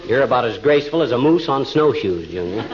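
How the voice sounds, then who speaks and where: An actor playing a boastful, slangy, folksy husband who fancies himself a magician in an old radio comedy. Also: male voice, mid-range voice, lively intonation, fast, natural voice. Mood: grumbling, sarcastic. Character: blustery, boastful husband and bungling amateur magician. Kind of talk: 1940s radio comedy acting. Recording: muffled, noisy old radio recording.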